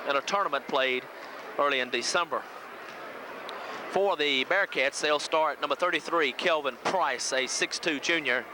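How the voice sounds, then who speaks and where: A man from the United States giving pregame sports commentary as a local radio play-by-play announcer. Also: on the high side. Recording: noisy old VHS local radio audio.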